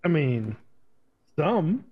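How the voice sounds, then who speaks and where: A man's voice: a little high, sing-song, very slow, phone-quality sound. A man improvising as an arrested suspect in online roleplay, terse and understated. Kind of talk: improvised in-character roleplay talk.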